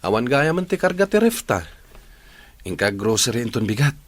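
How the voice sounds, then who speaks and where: An actor playing a devoted, long-suffering young boyfriend in a radio drama, earnest and yielding, with an Ilocano accent. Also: male voice, medium pitch, sing-song, moderate pace. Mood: patient, yielding.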